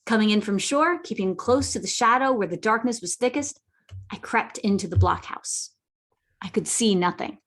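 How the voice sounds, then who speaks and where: A woman's voice: mid-range voice, moderate pace, a bit bright. A woman playing an earnest, plucky boy adventurer who narrates a radio play dramatically. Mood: earnest storytelling. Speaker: narrator and lead character.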